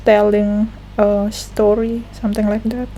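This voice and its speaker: A woman's voice: medium pitch, level tone, unhurried, dark tone, slight background noise. A young adult woman with an Indonesian accent, giving casual, playful live commentary while playing a game. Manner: playful, giggly, mixes languages. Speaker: game streamer reacting to a story quest.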